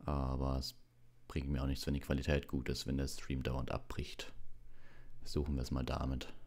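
A man hosting a livestream audiobook reading, talking and reading in a relaxed, casual way. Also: a bass voice, moderate pace. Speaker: audiobook reader, livestream host.

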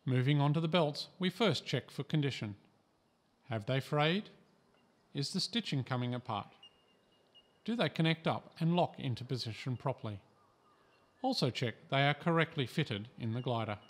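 A man from Australia reading a scripted training voice-over in a calm, measured, instructive way. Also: medium pitch. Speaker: instructional narrator.

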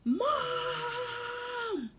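A woman's voice: extremely high pitch, lively intonation, extremely slow, music in the background, voice pushed up, exaggerated voice. A woman voicing an anxious, impatient baby llama boy from a storybook, whiny and plaintive as he wants his mama. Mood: whimpering, wailing.

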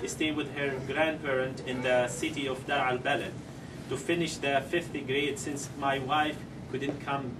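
A middle-aged man gives a halting press statement, full of ums and grammar. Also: medium pitch, fairly even intonation. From Syria. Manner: halting, with many ums and non-native grammar. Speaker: parent speaking at a press conference. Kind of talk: prepared press statement, then answering questions. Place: conference room, seated at a table. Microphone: table microphones, heard through a far camera. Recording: distant mic with clear background noise.

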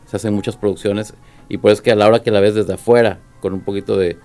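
A man talking as a guest on a radio panel discussion, in a deliberate, measured way. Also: on the low side, fairly even intonation, warm tone, faint music behind. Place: radio studio.

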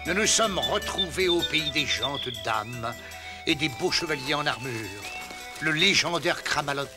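A man's voice: on the high side, measured pace, music in the background, lightly acted. A man voicing a cheerful, boastful plumber hero in a cartoon dub. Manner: boastful, bouncy. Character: cheerful male plumber hero, Italian-style.

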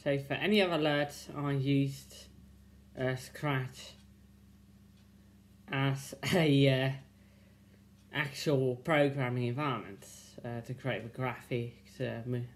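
A young man giving casual, unscripted video commentary in a halting way, thinking aloud. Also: mid-range voice. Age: young adult. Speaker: video creator commenting on viewer feedback. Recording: hissy home recording.

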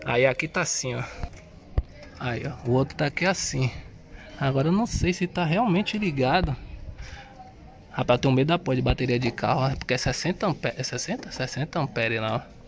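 A man's voice: medium pitch, expressive, brisk, slight background noise. A man with a Brazilian accent, chatting slangily and thinking aloud as he installs a car sound system, filmed on a phone inside the car. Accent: Brazilian Portuguese. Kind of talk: casual hands-on DIY narration. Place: inside a car, working on its wiring.

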